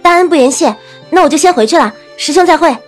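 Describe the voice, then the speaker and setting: A flustered young girl student from a period audio drama. She speaks in a high voice, polite and awkwardly eager, over faint music. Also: animated, quick pace. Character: bashful, flustered young female student in ancient China. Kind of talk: Chinese costume audio drama acting.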